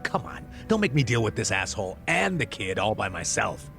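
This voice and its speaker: Middle-aged male ex-cop bluffer, game drama; fry-laden voice, casual bluster, animated delivery. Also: lowish voice, a little fast, faint music behind, exaggerated voice. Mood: casual, pleading. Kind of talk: video game cutscene dialogue.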